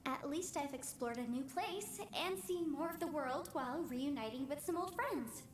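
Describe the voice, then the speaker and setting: Actress playing an earnest young adviser; high, echoey, distant game audio. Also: female voice, normal speed, a little hiss or hum, lightly acted. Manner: earnest, upbeat, sensible. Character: earnest young female legal adviser, upbeat.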